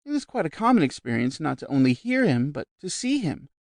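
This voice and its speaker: Man reading effusive shrill spiritualist lady, pitched way up, dry audiobook. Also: sing-song, a little fast, creaky, no echo. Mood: lecturing. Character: gushing middle-aged female society spiritualist.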